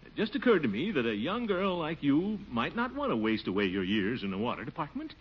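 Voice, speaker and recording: An actor playing a pompous, easily flustered middle-aged city official in a 1940s radio comedy. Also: male voice, fairly high pitch, wildly swooping pitch, a little fast, breathy edge, heavily acted. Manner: flustered, blustering, mutters to himself. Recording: muffled old radio broadcast with hiss.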